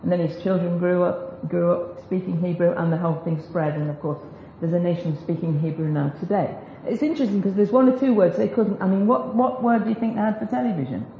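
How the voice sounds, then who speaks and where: A woman teaches a group in a relaxed, chatty way, tossing in self-deprecating jokes as she goes. The recording is roomy and echoey, with a dull, lo-fi sound and a faint hiss underneath. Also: lowish voice, brisk, few pauses. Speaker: speaker teaching a group about biblical Hebrew. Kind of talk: informal Bible teaching talk.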